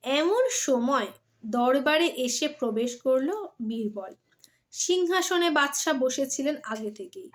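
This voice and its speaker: A woman's voice: high-pitched, lively intonation, slow. A woman storyteller. She speaks expressively, as if reading from a storybook, and chats casually with her audience. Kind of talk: expressive storybook narration and casual chat to viewers. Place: at home.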